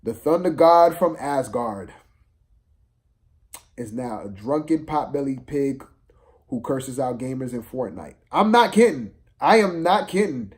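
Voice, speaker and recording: A man giving a casual, unscripted film review rant, exasperated and slangy, repeating himself for emphasis in a halting way. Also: mid-range voice, animated, a little mellow.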